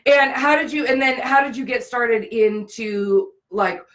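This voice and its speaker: A woman's voice: fairly high pitch, a little slow, a bit bright. A woman speaking as the host of a live business interview show. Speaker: business show host, brand and profit strategist.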